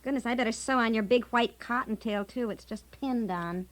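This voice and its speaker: A woman's voice: fairly high pitch, moderate pace, close to an everyday voice. A woman with an American accent playing a coaxing, persistent middle-aged wife who fusses and cajoles, in the style of a 1960s radio comedy sketch. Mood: soothing, fussing. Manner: fussing, cajoling.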